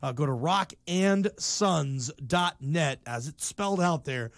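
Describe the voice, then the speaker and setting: A man's voice: fairly high pitch, lively intonation, slow, dry recording, clean studio sound. An American man hosting a sports talk radio show, giving opinions in a casual, slangy, emphatic conversational way.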